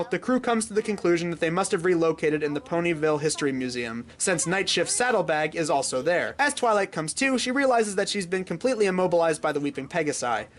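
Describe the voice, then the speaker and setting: A reviewer delivering scripted narration that recaps and reviews a story. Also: mid-range voice.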